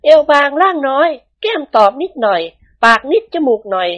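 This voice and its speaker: A woman's voice: high-pitched, very animated, normal speed, pitched above the performer's own voice. A woman voicing a genteel wealthy lady of the house who speaks sweetly and politely in a storybook reading.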